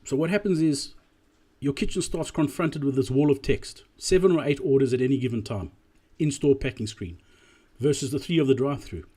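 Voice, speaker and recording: Man, husky and mellow, explanatory business presentation, deliberate, emphatic delivery, key phrases repeated. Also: medium pitch. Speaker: presenter analysing drive-through performance.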